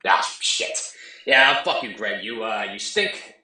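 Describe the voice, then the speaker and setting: A man's voice: on the low side, lively intonation, slow pace, sharp tone, echoey room, heavily acted. A man voicing a crude, smug male caller who heckles and insults the host with foul language. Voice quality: foul-mouthed, taunting.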